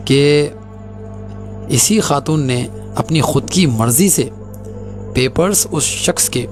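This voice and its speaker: A man's voice: a little high, lively intonation, slow pace, music in the background. A man with a Hyderabadi accent addressing viewers in an accusatory, emphatic way, repeating his phrases. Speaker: host of a clarification video.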